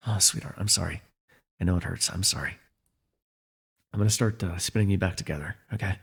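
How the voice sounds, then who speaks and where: Jaded supervillain with a tender streak, played by a man: deep, husky, intimate ASMR roleplay delivery, soothing yet sardonic. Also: heavily acted. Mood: tender, apologetic. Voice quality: gravelly, husky. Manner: soothing, measured, with wry sarcastic edge. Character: jaded fallen-hero villain, male telepath, protective and reluctantly tender.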